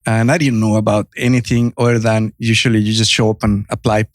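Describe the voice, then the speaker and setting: A man's voice: on the low side, level tone, brisk, dry recording, studio quality. A man in his fifties from Mexico with a Spanish accent, telling his story as a guest in a podcast interview, earnest and full of restarts. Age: 50s. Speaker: guest entrepreneur.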